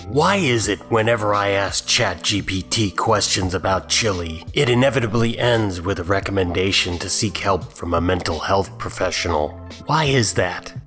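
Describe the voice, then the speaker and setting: Male commentator, lowish voice, sardonic and scornful, faint music underneath. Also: a bit bright. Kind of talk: scripted mocking commentary.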